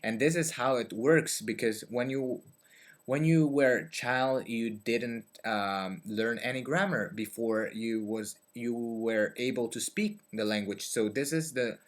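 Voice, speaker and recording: A man's voice: mid-range voice, a little slow. A young man from Belgium, talking to his webcam in a dim bedroom, hesitant with many fillers. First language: French. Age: young adult. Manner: hesitant, many fillers and restarts, gestures with hands. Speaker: vlogger. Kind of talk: casual talk to camera about learning languages. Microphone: webcam at desk.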